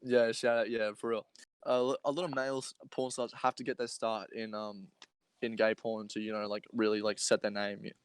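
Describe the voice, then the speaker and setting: A man's voice: lowish voice, flat delivery, a little fast, clean tone, no room sound. A man co-hosting a casual comedy podcast, joking crudely and often repeating himself. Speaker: podcast co-host.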